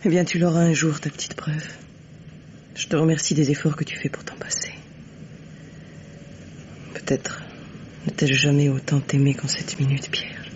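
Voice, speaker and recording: An actress playing a wounded, resigned lover with a hesitant, tender, breathy delivery, in the theatrical style of a 1950s radio mystery. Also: female voice, low voice, fairly even intonation, moderate pace, pauses often, darkish tone, in a whisper, lightly acted. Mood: bitter, tender. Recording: dull, noisy old radio recording.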